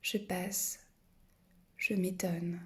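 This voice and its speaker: A woman recites verse at a very slow pace. Her voice is soft and breathy, close to a whisper, with little rise and fall in pitch. The delivery is solemn and contemplative, each phrase measured and set apart by pauses. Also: little pitch movement. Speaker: poetry reader. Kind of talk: solemn literary poetry recitation.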